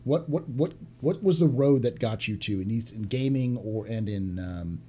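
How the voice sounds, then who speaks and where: Young male host, animated delivery, casual interviewer. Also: medium pitch, measured pace. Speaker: podcast host. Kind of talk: interviewing guests.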